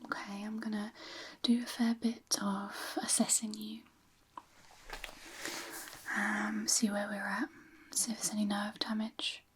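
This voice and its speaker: A woman speaks in a hushed, breathy whisper at an average pitch, in the role of a caring physiotherapist in an ASMR exam. She is gentle and a little hesitant, softly explaining each step. Clear background noise and small clicks sit behind her voice. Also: little pitch movement, a little slow, pauses often. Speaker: ASMR performer playing a physiotherapist. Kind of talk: soft-spoken ASMR medical roleplay.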